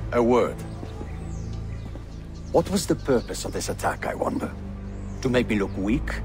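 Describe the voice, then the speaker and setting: An actor playing a haughty, aggrieved middle-aged Ottoman royal in a video game. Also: fairly low pitch. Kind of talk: video game cutscene acting.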